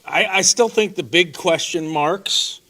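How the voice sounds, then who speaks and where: A man's voice: high-pitched, a little slow, sharp tone. A man co-hosting a casual sports talk show, giving folksy, opinionated takes.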